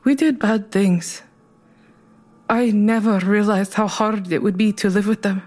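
A woman's voice: mid-range voice, steady tone, a little slow, darkish tone, natural voice. An actress playing a troubled, guilt-ridden woman who keeps pressing, in an audio drama.

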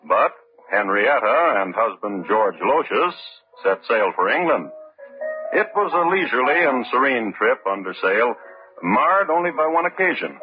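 Droll, low-pitched male radio narrator, widely inflected. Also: phone-quality sound. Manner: droll, deadpan, measured dramatic pauses. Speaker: narrator and host. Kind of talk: old-time radio scripted narration. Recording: thin, band-limited old radio recording.

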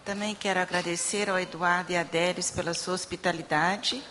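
Woman interpreter in a reverberant church hall. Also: lowish voice. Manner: steady, measured reading of scripture. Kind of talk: consecutive interpreting and scripture reading. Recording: long hall reverb with faint room noise.

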